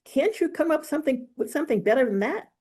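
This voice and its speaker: A woman voicing a serious, sharp-witted twelve-year-old girl in a storybook, blunt and grumbling. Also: high-pitched, lively intonation, quick pace, pitched above the performer's own voice. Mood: indignant.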